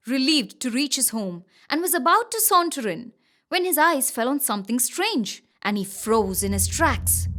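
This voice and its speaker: A woman reading scripted children's stories as an expressive, dramatic storyteller. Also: on the high side. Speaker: storyteller, narrator.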